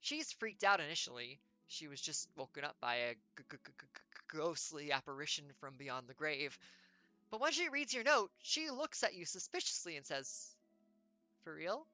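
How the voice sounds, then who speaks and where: A woman's voice: sits low, sing-song, normal speed, sharp tone, no echo, faint music behind. A woman streamer reading a choose-your-own-adventure game aloud, with playful, wry jokey asides.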